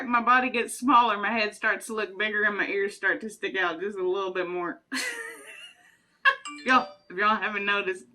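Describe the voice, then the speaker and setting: A woman's voice: mid-range voice, sharp tone. A woman, chatting casually and rambling through would-you-rather questions with frequent giggles. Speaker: vlogger.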